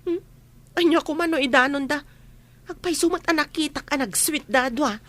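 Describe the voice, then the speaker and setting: Woman acting high-voiced, brazen village gossip. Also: moderate pace, a bit bright. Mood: nosy, accusing. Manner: argumentative, insistent, theatrical. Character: brazen gossiping woman Sonya, stubborn and defensive. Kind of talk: Filipino audio drama acting.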